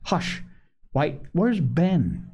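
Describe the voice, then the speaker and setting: A man's voice: on the high side, huge pitch swings, drawn-out words, a little mellow, a little hiss or hum, full character voice. A man voicing a young girl in a storybook, eager, decisive and anxious to help. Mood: flustered.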